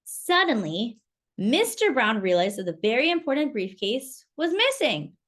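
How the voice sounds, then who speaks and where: High, animated woman reading to children. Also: normal speed. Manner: exclamatory, addresses young listeners with questions. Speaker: children's storytime reader. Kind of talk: expressive picture-book read-aloud with chatty asides to children.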